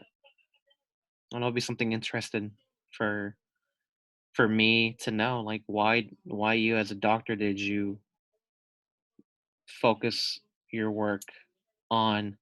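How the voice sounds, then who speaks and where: A man leads a read-aloud lesson for young children in a bright, forward voice. He takes his time, explains carefully, asks questions and goes back over key points. The sound is dry and close, with no room echo. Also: on the low side. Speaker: teacher reading a children's book aloud.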